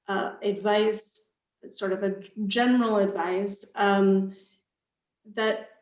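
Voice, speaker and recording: A woman, an author on a panel, speaking in a hesitant, halting way. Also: mid-range voice. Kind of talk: casual panel talk. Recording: roomy echo.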